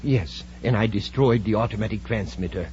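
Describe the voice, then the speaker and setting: A man's voice: fairly low pitch, normal speed, darkish tone, natural voice. An actor playing an anxious, nagging criminal henchman, urgent and insistent, in 1950s radio drama style. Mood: nervous. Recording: muffled, noisy old radio recording with hum.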